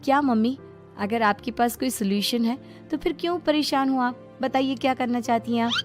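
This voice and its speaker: A woman's voice: on the high side, normal speed, soft background music, lightly acted. A woman voicing a caring, agreeable young adult daughter in a cartoon story, speaking earnestly.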